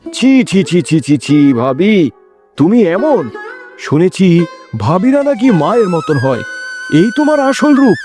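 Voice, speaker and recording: A man voicing a gentle, forgiving younger brother in a melodramatic village cartoon, given to exclamations. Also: high voice, big pitch swings, measured pace, long pauses, soft background music. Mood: shocked, hurt.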